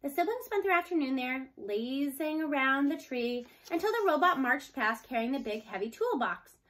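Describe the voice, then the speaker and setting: A woman's voice: high-pitched, expressive, moderate pace, a bit bright. A woman reading a children's novel aloud as scripted storybook narration. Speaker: storybook reader.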